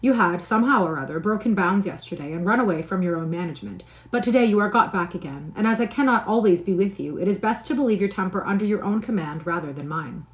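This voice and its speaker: A woman voicing a clever, proud young lady with a quick, mocking wit. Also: medium pitch, animated, lightly acted. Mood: teasing. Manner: brisk, mocking.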